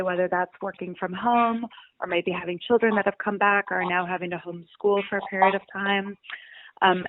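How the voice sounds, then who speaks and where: A woman chief executive explaining things conversationally in a phone interview, with frequent "you know"s. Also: mid-range voice. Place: on a telephone call. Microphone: telephone. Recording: tinny phone line with background noise.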